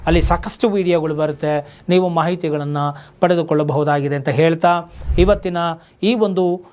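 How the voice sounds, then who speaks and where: A man's voice: on the high side, steady tone, normal speed, a little mellow. A man with an Indian accent, a lawyer hosting an explainer, speaking didactically and directly to viewers as he gives legal advice. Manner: didactic, addresses viewers directly with rhetorical questions. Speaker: lawyer and video host.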